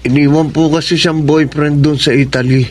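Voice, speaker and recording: A man's voice: fairly high pitch, steady tone, normal speed, noisy background, natural voice. A man playing a shy, lovestruck young suitor in a radio drama, timid and polite, with a Filipino accent. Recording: music under the voice.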